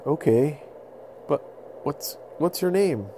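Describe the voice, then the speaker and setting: A man voicing a gentle, polite little boy adventurer, hesitant and earnest, in a children's story. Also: on the high side, expressive, slow, slight background noise, pitched above the performer's own voice. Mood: curious.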